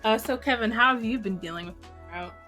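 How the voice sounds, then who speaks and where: A woman's voice: a little high, quick pace, sharp tone, soft background music. A woman hosting a casual fan podcast, speaking informally with lots of filler words and a bubbly manner. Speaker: podcast host.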